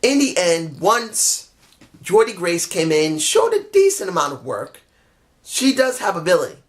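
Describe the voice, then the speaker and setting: A man with a somewhat high voice, hoarse from talking, picks apart a wrestling show in a loose, opinionated way. He rambles and restarts his sentences, honest and a bit frustrated. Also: big pitch swings, unhurried. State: losing his voice. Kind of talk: casual opinionated review.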